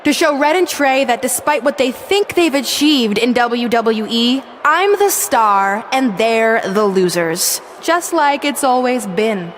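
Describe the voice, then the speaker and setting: High-voiced, gloating villain wrestler woman. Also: lively intonation, takes it slowly, hardly any pauses, slight background noise. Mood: smug gloating. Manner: smug, mocking, theatrical. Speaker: wrestler character giving a villain promo. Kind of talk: wrestling promo monologue.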